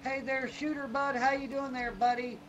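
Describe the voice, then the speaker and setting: A man's voice: very high-pitched, little pitch movement, normal speed, muffled recording, noisy background. A man chatting casually during a gaming livestream, folksy and exclamatory, with some swearing. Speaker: gamer on a livestream.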